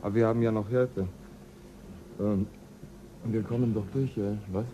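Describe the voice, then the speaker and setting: A man's voice: fairly low pitch, fairly even intonation, moderate pace, background noise, lightly acted. An actor playing a tired, exasperated crewman caring for a sick shipmate in a radio play. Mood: weary. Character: weary male crewman Mill, nursing a sick mate.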